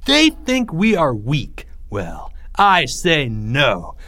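A man voicing a pompous, defiant schoolboy in an audiobook, declaiming forcefully like a little orator. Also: on the high side, huge pitch swings, extremely slow, exaggerated voice. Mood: rousing, bombastic. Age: child. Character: bombastic schoolboy making defiant, pompous speeches. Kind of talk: audiobook character voice.

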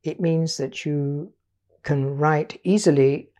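An older woman, raised in Portugal, telling her life story as an artist in a measured, patient interview manner. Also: deep voice, steady tone, mellow. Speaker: guest artist.

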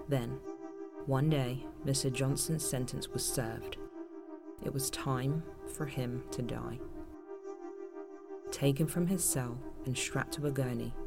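A man narrating a dark audio drama anthology as a grave, ominous storyteller, measured and solemn. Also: mid-range voice, gravelly. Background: prominent music.

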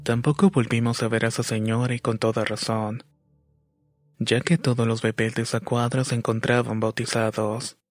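A man narrates paranormal tales from a script in a deliberate, measured way. His mid-pitched voice stays very even, with little rise or fall. Music plays behind him. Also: no echo. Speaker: narrator of listeners' paranormal stories. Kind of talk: scripted horror story narration. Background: background music.

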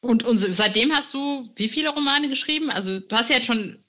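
A woman's voice: on the high side, expressive, fairly quick, sharp tone, lo-fi sound. A woman hosting a podcast, chatting casually in an informal, slangy, enthusiastic way. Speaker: podcast host, interviewer.

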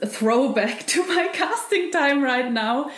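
A woman's voice: high-pitched, animated, takes it slowly, fairly bright tone. A woman interviewing an actor, affirming and laughing lightly as she talks about casting. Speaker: interviewer, former casting director. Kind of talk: conversational interview. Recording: roomy echo.

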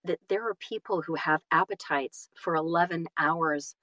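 A woman chatting casually about her trade in an emphatic, animated way, often doubling back and repeating words. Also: deep voice, normal speed, no echo. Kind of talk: casual conversation about the audiobook business.

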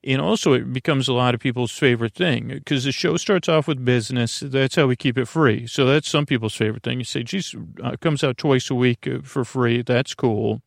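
Creaky-voiced man, rambling softly at bedtime. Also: medium pitch, fairly quick, few pauses, no room sound, studio quality. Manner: meandering, mumbling, stumbling, self-deprecating, with tangents and mixed-up words. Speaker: podcast host. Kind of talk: rambling, conversational bedtime sleep podcast monologue.

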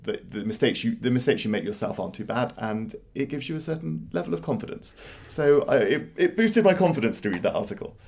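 A man co-hosting a podcast in a casual chat, pausing often with fillers and restarting his sentences. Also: medium pitch, lively intonation, vocal fry, darkish tone. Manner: hesitant, many fillers and restarts. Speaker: podcast co-host.

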